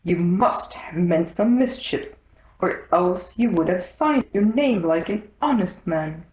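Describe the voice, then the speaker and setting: A self-important old storybook king acted out by a woman, grave and deliberate. The words come slowly and weightily, with a roomy echo around them. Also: lowish voice, animated, a little mellow. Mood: grave, self-satisfied. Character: pompous, grave, slow-witted old king.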